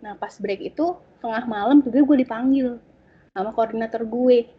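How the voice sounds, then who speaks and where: A woman tells a story from her interpreting days in lively, slangy casual chat. Her voice sits a little high and carries a husky edge. The recording sounds dull and lo-fi. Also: normal speed. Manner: animated, slangy, many fillers and restarts.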